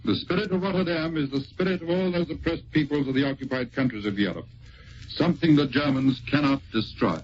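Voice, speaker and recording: A man's voice: on the high side, a little fast. A man announcing in a formal, scripted style for a 1940s wartime radio broadcast. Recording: noisy muffled 1940s radio recording.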